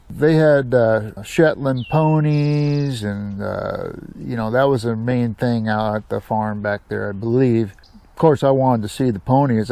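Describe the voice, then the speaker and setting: A man's voice: medium pitch, gravelly, darkish tone. An American man from the Seattle area telling personal stories casually as a channel host, in a folksy, colloquial, rambling way. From United States, Seattle area. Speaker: storyteller, YouTube channel host.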